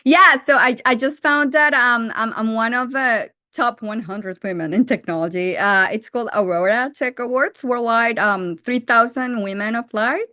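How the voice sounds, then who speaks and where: A woman's voice: a little high, normal speed, hardly any pauses, no echo, studio quality. Colombian woman founder in her forties. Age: 40s. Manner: animated, frequent restarts and fillers. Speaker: startup founder. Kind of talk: interview answers.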